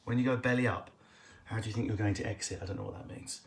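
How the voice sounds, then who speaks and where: Cheeky fast-talking Essex man. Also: lowish voice. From England. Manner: quick, cheeky, jumps between loud bursts and muttered asides. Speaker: comedian hosting a live Q&A stream. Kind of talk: casual improvised stand-up chat to camera. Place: at home, indoors.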